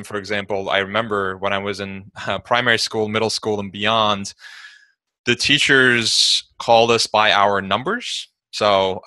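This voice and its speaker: A man from Turkey, a podcast guest answering in a measured way with frequent ums. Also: on the low side, pushed and bright, no echo. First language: Turkish. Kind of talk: casual interview answer.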